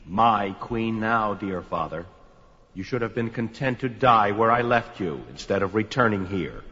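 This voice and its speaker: A man playing a cruel usurping sorcerer in a fantasy audio drama, measured, smug and imperious. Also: lowish voice, lively intonation, pushed and bright. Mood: smug menace. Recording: muffled, long cavernous hall reverb.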